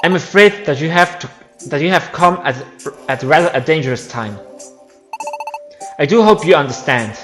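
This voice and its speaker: Over loud music comes a dignified queen bee from a game, acted out by a man. The voice is fairly high, formal and gently commanding, in non-native English. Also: lively intonation, normal speed, pauses often, natural voice. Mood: composed, apologetic. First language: not English. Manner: formal, composed, apologetic. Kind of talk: amateur voiced game storyline.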